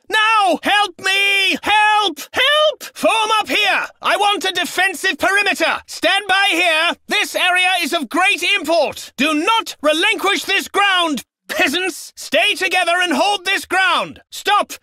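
A man's voice: extremely high pitch, slow, pushed and bright, dry recording, studio quality, heavily acted. A man voicing a haughty, imperious medieval nobleman for a video game, pompous and theatrically enunciated. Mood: panicked, demanding, then commanding. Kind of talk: video game character battle barks.

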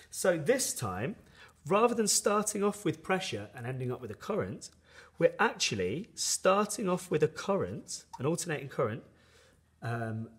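A man giving a step-by-step science tutorial in an explanatory, instructional manner as a teacher. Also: mid-range voice.

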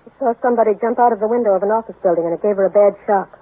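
A woman's voice: medium pitch, very fast, no room sound, lightly acted. A woman playing a devoted, anxious legal secretary in 1930s radio style. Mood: morbid. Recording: muffled, tinny 1930s radio recording.

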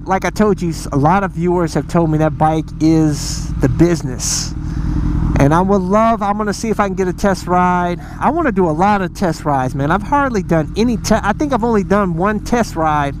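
A man's voice: sits high, animated, normal speed. A man riding a motorcycle, chatting casually to viewers as a motovlogger, rambling with lots of fillers and restarts. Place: outdoors on a moving motorcycle. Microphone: helmet-mounted mic under a helmet. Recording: loud road and engine noise.

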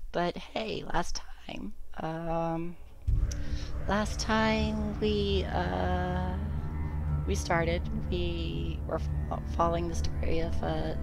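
Hoarse raspy woman, slow halting talk, lowish voice, loud music underneath. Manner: halting, many fillers, explaining. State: hoarse from recovering illness. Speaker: streamer and host. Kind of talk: casual let's-play chat. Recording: loud game music behind.

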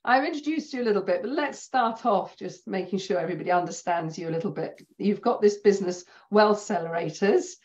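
A middle-aged woman hosting an interview on a video call, chatty and quick to laugh. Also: medium pitch. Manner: warm, chatty, laughs easily. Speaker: host. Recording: slightly dull video-call audio.

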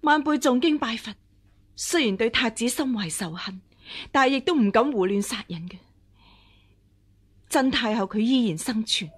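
High-voiced scheming, pleading impostor empress. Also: female voice, expressive, normal speed, long pauses, slightly rough. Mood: earnest, pleading. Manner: deferential, hesitant, pleading. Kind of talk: Cantonese radio drama acting.

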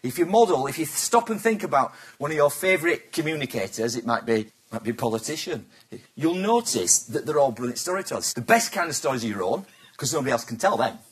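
A man's voice: on the high side, animated, fast talker. A man giving a business talk to a live audience, chatty and teasing, throwing questions at the crowd. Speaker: presenter.

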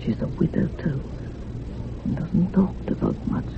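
Guarded village housekeeper, lively pitch. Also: female voice, a little slow, creaky. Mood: confiding, grave. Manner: plain rustic phrasing, evasive. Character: guarded village housekeeper, deferential, evasive widow. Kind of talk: radio drama dialogue. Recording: noisy, phone-like old radio sound.

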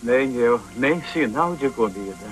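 Man as lazy deadpan cartoon cat. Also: medium pitch, unhurried, faint music behind, close to an everyday voice, poor audio. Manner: deadpan, droll. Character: lazy sarcastic male house cat obsessed with food. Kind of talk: cartoon dub acting.

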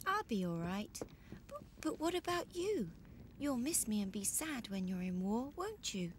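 A woman's voice: on the high side, sing-song, unhurried, slight background noise. A woman playing a sweet, devoted, childlike young girl in a video game cutscene, speaking earnestly. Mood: concerned.

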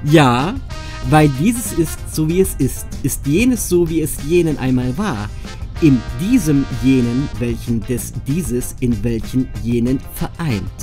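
A man's voice: medium pitch, expressive, unhurried, darkish tone, soft background music, lightly acted. A man playing an easygoing, teasing young guy, mock-serious and colloquial in a comedic audio drama. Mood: mock-solemn. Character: easygoing young male driver teasing his camping buddies.

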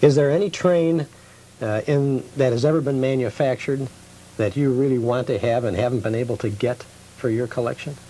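A man's voice: medium pitch, moderate pace, dark tone, soft background music, low-quality recording. A man hosting a conversational interview as the show's interviewer. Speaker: TV show host interviewer.

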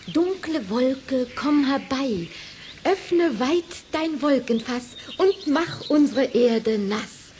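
A woman's voice: high voice, a little mellow, slight background noise, heavily acted. A woman playing a little witch who chants a magic spell as an incantation in a children's radio play.

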